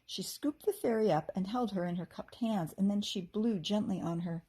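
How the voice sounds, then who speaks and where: Expressive American woman reading a storybook, slightly husky. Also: mid-range voice, normal speed. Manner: animated, acts out dialogue. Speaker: teacher reading a children's book aloud.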